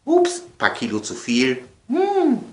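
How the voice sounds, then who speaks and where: A man playing a nagging spouse in a comic sketch, insistent and drawn-out. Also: very high-pitched, wildly swooping pitch, darkish tone, voice pushed up, exaggerated voice. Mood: whiny complaint. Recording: echoey room sound.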